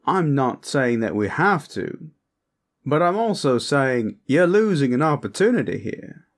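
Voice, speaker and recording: A man's voice: medium pitch, sing-song. A man voicing a lecherous, flamboyant vampire protector in a comedic audio roleplay, smug, pompous and suggestive. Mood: suggestive, coaxing. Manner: theatrical, drawn-out, suggestive, pompous. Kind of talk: comedic character roleplay monologue, talking to the listener.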